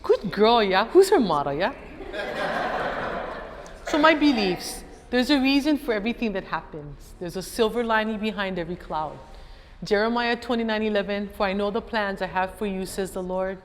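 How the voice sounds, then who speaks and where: A middle-aged woman with a Hawaiian accent, speaking as an educator and guest speaker. She tells a story to an audience in an animated way and acts out other people's voices. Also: mid-range voice, normal speed. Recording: echoey hall, faint background noise.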